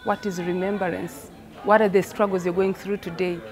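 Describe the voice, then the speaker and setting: A woman's voice: fairly low pitch, level tone, moderate pace, a little mellow. A woman from Rwanda, a theatre director explaining her work reflectively, repeating phrases for emphasis.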